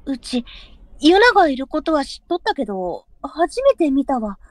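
A woman playing a naive, lively younger sister in a period voice drama, with a Kansai accent. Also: high voice, moderate pace, no echo. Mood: curious. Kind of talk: online live voice drama acting.

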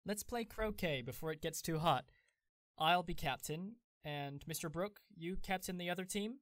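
A woman's voice: on the low side, normal speed, no echo, natural voice. A woman voicing a cheerful, teasing young man in an audio drama, breezy and friendly, with a dry close sound.

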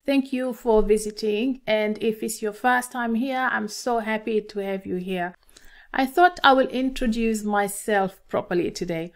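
A woman's voice: a little high, measured pace. A middle-aged woman from Tanzania with a Tanzanian accent, talking to students as an encouraging maths teacher. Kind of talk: instructional talk to camera for students.

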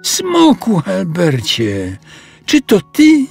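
A man voicing a curious, excited little girl in a children's storybook, eager and questioning. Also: high voice, extremely slow, vocal fry, faint music behind, pitched above the performer's own voice, exaggerated voice. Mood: astonished.

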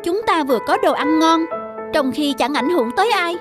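A woman's voice: sits high, animated, a little fast, over music. A woman voicing a small, timid male mouse in a children's cartoon, pleading and wheedling deferentially.

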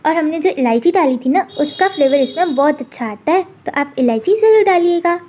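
Cooking host, woman, high mellow voice, calm step-by-step recipe voice-over. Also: a little fast. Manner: calm instructional narration.

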